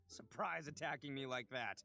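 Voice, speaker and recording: A man's voice: medium pitch, normal speed, vocal fry, pushed and bright, a little hiss or hum. A man voicing a brash, dramatic young male oni brawler in an anime video game, out of breath, stammering and slangy. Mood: indignant.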